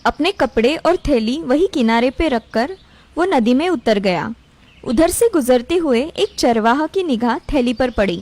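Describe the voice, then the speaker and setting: A woman's voice: sits high, lively intonation, unhurried, a little mellow. A woman narrator reading a scripted moral story for a cartoon.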